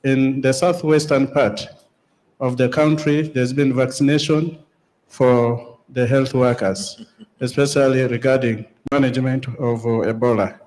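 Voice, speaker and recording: A man with a Ugandan accent speaks from the floor of a conference hall. His appeal is formal and measured, with pauses between his points. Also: medium pitch, fairly even intonation, darkish tone. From Uganda, West Nile. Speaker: district chairperson.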